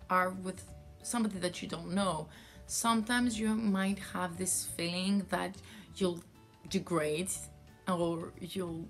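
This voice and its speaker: Slow-talking woman telling a story. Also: mid-range voice. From France. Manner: slow, rambling, many fillers. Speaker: yarn dyer and seller. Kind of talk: casual storytelling vlog.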